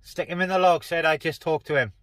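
A man's voice: on the high side, fairly even intonation, fairly quick, no room sound, clean studio sound. A man chatting casually as a CB radio operator, speaking colloquially and repeating phrases, inside a parked car.

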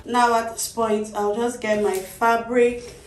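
Nigerian woman in her thirties, lively sewing tutor, high voice, roomy studio sound a little off-mic. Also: slow, music in the background. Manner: lively, animated, tags phrases with "okay?". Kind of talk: chatty tutorial with announcements. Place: small indoor sewing studio. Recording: roomy, slightly distant.

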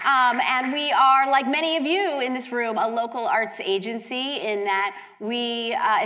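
A woman's voice: medium pitch, a bit bright. A woman from Chicago, stuffy from a cold, speaking as a panelist on a theater stage in a casual, chatty way with many fillers. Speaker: county arts agency director, panelist.

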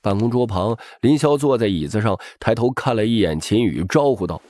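Animated male audiobook narrator. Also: fairly low pitch, brisk, dry recording. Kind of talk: dramatic scripted novel narration.

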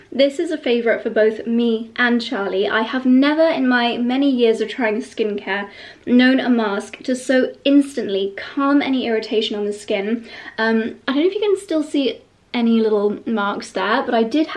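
Chatty woman, a bit stuffy. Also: a little high. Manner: gushing and chatty, with light laughs. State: slightly stuffy from a cold. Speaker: lifestyle vlogger. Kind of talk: chatty vlog to camera. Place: bright bedroom indoors. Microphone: camera held close.

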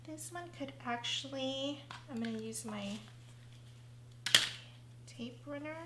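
A woman's voice: high-pitched, slow, reverberant, very noisy. Chatty woman losing her voice. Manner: chatty, thinking aloud while working. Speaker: craft tutorial host. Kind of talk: casual craft tutorial.

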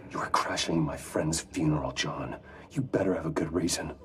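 Composed, wry wealthy man for a game: extremely low voice, restrained, placating delivery. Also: moderate pace, a little hiss or hum. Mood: stern, reluctant. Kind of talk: video game voice acting.

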